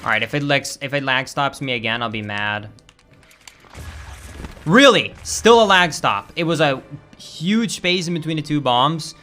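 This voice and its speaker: A young Swedish man talks through his stream in a slightly high, bright voice. His delivery is casual and chatty, with loud flare-ups and swearing when the game lags. The Swedish accent is clear throughout. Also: lively intonation, unhurried, faint music behind. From Sweden. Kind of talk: live-stream gameplay commentary.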